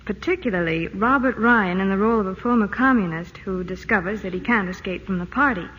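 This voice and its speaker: A woman's voice: mid-range voice, fairly quick, a bit bright, husky, dull audio. A woman reading a scripted 1940s radio commercial, sweetly enthusiastic and gushing. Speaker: actress in radio ad.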